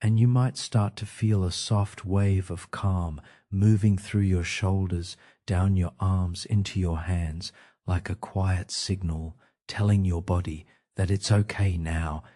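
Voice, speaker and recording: A man guides a sleep meditation in a very low, dark and relaxed voice. He speaks very slowly and soothingly, in short, gentle phrases with space left between them. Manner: slow, gentle, soothing delivery with pauses.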